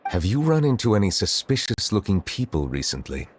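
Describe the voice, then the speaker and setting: A man voicing a stern, composed male chief justice in a video game, speaking in a measured, formal and grave way. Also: fairly low pitch, big pitch swings, noisy background. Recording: game audio with faint music behind.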